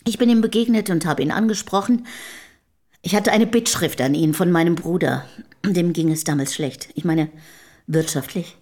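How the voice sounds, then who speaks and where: Schauspielerin als tiefe, wortkarge, bodenständige Haushälterin. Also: female voice, on the low side, moderate pace, a little mellow, natural voice. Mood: plain, reserved. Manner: plain, terse, reserved. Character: guarded, down-to-earth middle-aged housekeeper-mistress, early 1800s. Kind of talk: German radio play acting.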